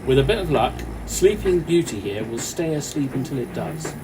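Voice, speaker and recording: A man playing a calm off-duty policeman in a radio play, speaking in a measured, reassuring, authoritative way. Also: close to an everyday voice. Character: Mike, calm, commanding off-duty policeman on a train. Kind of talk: amateur radio play acting. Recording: noisy, train carriage rumble behind.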